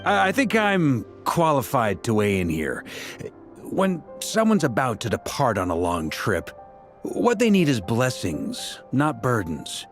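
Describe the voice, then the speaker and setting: A jovial, confident knight leader talks in a mid-pitched, very animated voice. His manner is breezy and informal, game dialogue with music underneath. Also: a little slow. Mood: reassuring. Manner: breezy, colloquial. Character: easygoing, confident male grand master knight, jovial leader.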